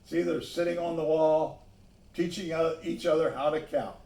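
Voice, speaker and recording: A man reading a children's story aloud, pausing to add simple asides about the pictures. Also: on the high side, steady tone, mellow. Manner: pauses to point out the pictures. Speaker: storybook reader. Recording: echoey room, distant microphone.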